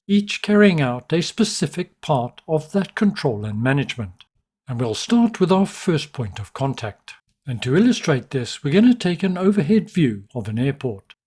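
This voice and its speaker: A man's voice: fairly high pitch, a little mellow, slightly rough. A man narrating an instructional tutorial in an orderly, explanatory way. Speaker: flight sim tutorial narrator.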